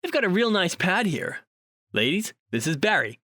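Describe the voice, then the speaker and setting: An actor playing a chatty, cocky male paranormal investigator in an audio drama, talking in a wordy, animated way. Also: high-pitched, normal speed, no room sound, clean studio sound, full character voice. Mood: friendly, upbeat. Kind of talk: audio drama dialogue acting.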